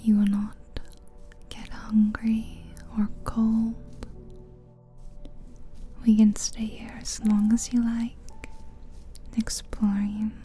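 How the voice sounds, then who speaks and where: A woman whispering a slow, soothing guided visualization as an ASMR host, close to the mic. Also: on the high side, monotone, dark tone, soft background music. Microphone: close mic, ear to ear.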